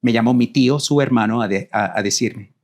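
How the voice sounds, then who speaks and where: A middle-aged man from Rico, a guest telling his life story in a reflective, measured way. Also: mid-range voice, gravelly, a little mellow. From Puerto Rico. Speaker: guest, co-author. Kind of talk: personal storytelling in conversation.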